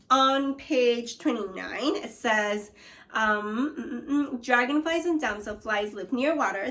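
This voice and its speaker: A woman with a fairly high voice speaks very slowly, as an elementary teacher does in a read-aloud lesson. She addresses young pupils directly in an encouraging, instructive way, moving between reading and questions. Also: fairly bright tone.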